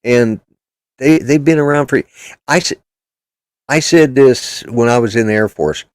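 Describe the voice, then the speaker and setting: A man's voice: mid-range voice, measured pace, no room sound, studio quality. An older man hosting a casual political chat show, talking in a blunt, rambling, opinionated way. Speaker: podcast host.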